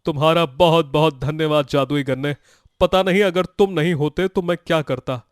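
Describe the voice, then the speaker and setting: A man's voice: a little high, moderate pace, clear, resonant tone, dry recording, studio quality, natural voice. A man playing a poor, naive village farmer in a children's moral story, plaintive, earnest and easily amazed. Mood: amazed, grateful.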